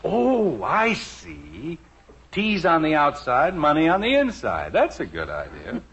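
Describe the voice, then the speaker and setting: Wry American family dad, 1950s radio sitcom, wildly varied intonation, muffled old recording. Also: male voice, on the high side, wildly swooping pitch, takes it slowly, a bit bright, slightly rough, heavily acted. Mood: pleased. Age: middle-aged. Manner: wry, hesitant with frequent hemming. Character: genial, wryly joking suburban father. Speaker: lead actor in radio sitcom.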